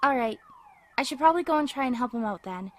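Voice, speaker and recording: Woman acting a polite jumpy survivor, really high voice, hesitant, faint noise. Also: lively intonation, fast talker. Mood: amused, helpful. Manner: hesitant, nervous. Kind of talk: audio drama acting.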